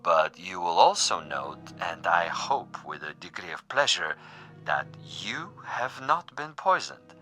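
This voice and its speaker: A man's voice: sits low, big pitch swings, takes it slowly, bright, forceful tone, soft background music, heavily acted. An actor playing an urbane, sadistic assassin who taunts his prey in formal, precise, theatrical phrases in a suspense radio drama. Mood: smug, amused.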